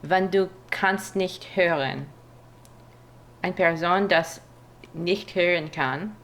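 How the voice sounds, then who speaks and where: Woman w a foreign accent, non-native, talks real slow and a bit low, keeps hunting for words. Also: a bit bright. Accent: non-native, foreign-accented German. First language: not German; speaks it as a foreign language. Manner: halting, pauses to search for words. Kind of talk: casual language-practice chat.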